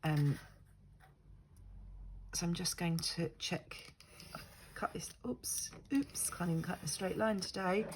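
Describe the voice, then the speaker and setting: A woman's voice: fairly low pitch, fairly bright tone, slight background noise, low-quality recording. An older woman chatting casually while crafting, stuffy and congested from a lingering cold, rambling and self-deprecating. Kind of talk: casual crafting tutorial chat. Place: indoors at a craft table. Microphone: overhead camera above craft mat.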